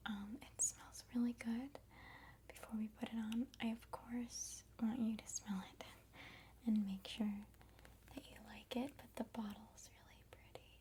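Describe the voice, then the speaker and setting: A woman in her twenties doing an ASMR role-play as a friend, soft, unhurried, chatty and reassuring. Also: fairly high pitch, little pitch movement. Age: 20s. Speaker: ASMR creator playing a friend doing the listener's makeup.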